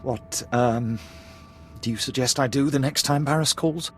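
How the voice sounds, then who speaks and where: A man's voice: medium pitch, unhurried, fairly bright tone, faint music behind, close to an everyday voice. An actor playing a cowardly Imperial officer in a sci-fi game, hesitant, deferential and eager to save his skin. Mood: nervous, relieved. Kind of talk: video game voice acting.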